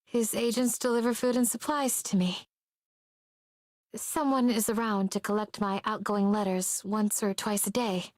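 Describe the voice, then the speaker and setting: A woman's voice: mid-range voice, no echo, natural voice. A woman voicing a polite, earnest young exiled princess in a visual novel, with genteel, formal phrasing.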